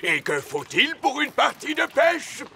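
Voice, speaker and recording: A man's voice: very high voice, big pitch swings, a little slow, bright, forceful tone, slight background noise, heavily acted. A man voicing a blustering, food-loving old grandpa rabbit in a children's cartoon, boisterous and emphatic. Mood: hearty.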